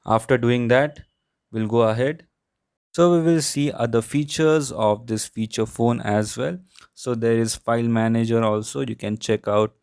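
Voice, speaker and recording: Indian guy doing a tech review walkthrough, clean crisp voice, mid pitch, no room echo. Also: darkish tone, studio quality. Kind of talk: product review narration, unscripted walkthrough.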